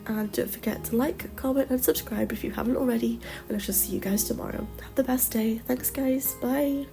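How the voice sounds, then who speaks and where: Female vlogger with a cold: nasal, slightly high, with vocal fry, rambling casual voice-over with music underneath. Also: brisk. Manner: chatty and rambling, many ums. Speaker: game build creator. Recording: music playing behind the voice.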